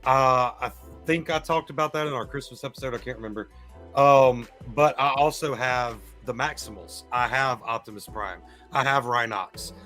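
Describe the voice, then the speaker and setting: A man's voice: medium pitch, normal speed, pushed and bright, faint music behind. A man hosting a casual live-streamed fan chat, explaining things in an enthusiastic, rambling way. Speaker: podcast host.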